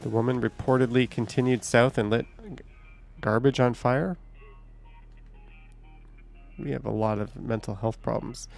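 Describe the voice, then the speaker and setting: A man's voice: medium pitch, steady tone, a little slow, gravelly, long pauses, darkish tone, faint music behind. A man hosting a live stream, chatting casually, thinking aloud and swearing now and then.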